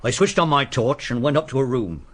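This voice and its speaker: A grudging little man under suspicion. He speaks bluntly at a middling pitch, guarded and defensive, in the manner of a radio crime play. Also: expressive, fairly quick, a bit bright. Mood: sombre, haunted. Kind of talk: British radio detective drama.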